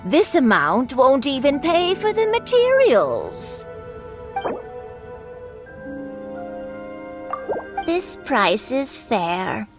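A woman's voice: high voice, wildly swooping pitch, slow pace, over music, heavily acted. A woman playing a kindly, shrewd old granny toy seller in a video game, with lilting, theatrical delivery. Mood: businesslike. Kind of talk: video game character acting.

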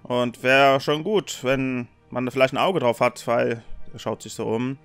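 A man's voice: mid-range voice, unhurried, fairly bright tone, clean tone, dry recording, faint music behind. A man voicing an uneasy, politely spoken travelling merchant in a tabletop game, speaking hesitantly.

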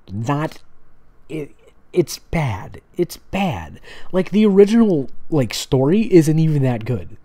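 Guy reading stuff out loud for laughs, soft warm tone, super up and down, snarky. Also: medium pitch. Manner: sarcastic, mocking, dramatic asides. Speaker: host reading and mocking fan fiction. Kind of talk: casual comedic read-aloud with sarcastic commentary.

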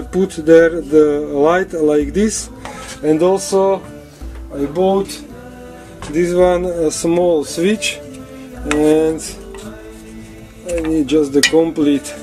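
A man who is not a native English speaker walks through his drill press in a home woodshop, in halting English full of fillers and restarts. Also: fairly high pitch, mellow. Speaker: woodworking hobbyist showing his drill press. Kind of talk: casual workshop walkthrough.

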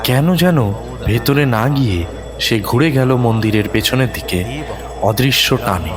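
A man narrating a horror thriller audio story in a dramatic, suspenseful way with deliberate pauses. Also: mid-range voice, over music.

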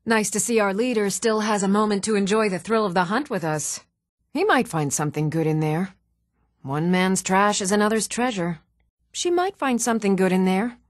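Woman acting fantasy game townswoman, even temper, animated intonation, dry studio sound. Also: mid-range voice, moderate pace, no echo, lightly acted. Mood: matter-of-fact. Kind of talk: video game character dialogue.

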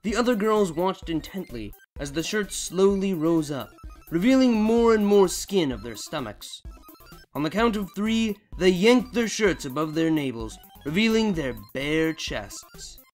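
A man's voice: high voice, sing-song, measured pace, faint music behind. A man narrating fan fiction as a story reader and channel host.

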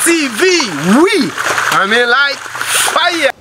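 Excitable Ghanaian man filming outdoors. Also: very high-pitched, slow pace, heavy background noise. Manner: excitable, exclaiming, mixes local language with English. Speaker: vlogger. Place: outdoors by a forest stream.

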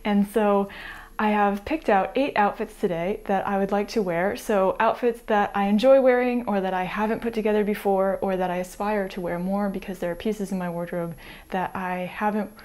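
An American woman in her thirties, chatting to camera in a small home room on a lapel mic, light and easygoing, with soft laughs between thoughts. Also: mid-range voice, steady tone, moderate pace. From United States. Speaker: sewing vlogger. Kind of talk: casual chat to camera.

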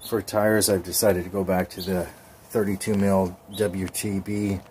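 A man's voice: deep voice, flat delivery, unhurried, darkish tone, a little hiss or hum. A man in his forties casually narrating his bike build over clips, chatty with frequent ums. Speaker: cycling vlogger.

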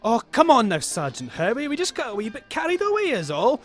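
A man's voice: extremely high pitch, huge pitch swings, fairly quick, faint music behind, heavily acted. An actor playing a placating Scottish pub landlord in an audio drama, pleading his case. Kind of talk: full-cast audio drama acting.

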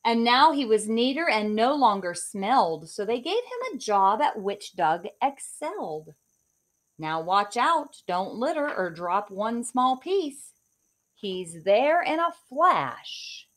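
A woman in her forties reads a rhyming picture book aloud for children, her voice fairly high and swinging up and down with every line. She takes it slow and sing-song, recorded close on a webcam in her living room. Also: sing-song, fairly bright tone. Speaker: teacher reading aloud.

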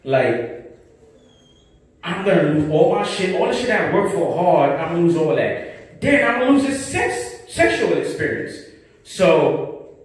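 Young NYC stand-up, drawling slow, heard from the back of an echoey club. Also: male voice, high-pitched, lively intonation. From Brooklyn, New York. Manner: slow, rambling delivery with many asides and repeated "right?". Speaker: stand-up comedian. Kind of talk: open-mic stand-up set. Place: small club stage with tiled wall, indoors. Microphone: handheld mic, filmed by a far camera. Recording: echoey room from far off.

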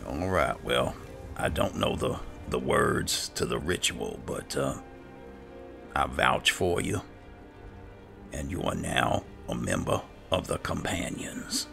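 A man doing casual gameplay roleplay commentary in a low-key, muttering way. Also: sing-song, takes it slowly, gravelly. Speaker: gamer playing and narrating a roleplay. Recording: music behind the voice. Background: game music.

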